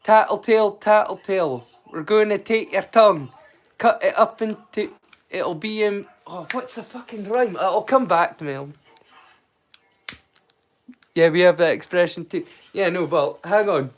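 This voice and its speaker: A Scottish man chatting casually on a live stream as a storyteller, rambling and swearing freely. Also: high-pitched.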